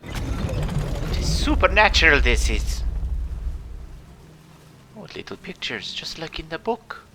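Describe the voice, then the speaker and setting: A man's voice: fairly high pitch, very animated, takes it slowly, noisy background, full character voice. A man voicing a blustery, theatrical pirate captain who spins adventure tales in exclamatory old seafaring talk. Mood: eerie wonder. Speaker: storyteller and host. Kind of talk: in-character adventure game narration for children.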